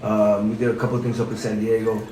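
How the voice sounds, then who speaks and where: A man, an actor, telling rapid, streetwise stories in casual podcast chat. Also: fairly low pitch, level tone, warm tone. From Southern California. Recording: echoey room with faint background noise.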